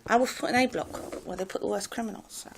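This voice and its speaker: Posh english lady, gravelly fry in the voice, loud, rambly, lots of ums. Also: mid-range voice, fast, a little hiss or hum. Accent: posh English public school with a slight American Ivy League clip. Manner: hesitant, many fillers and restarts. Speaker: vlogger telling her life story. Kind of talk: rambling personal monologue.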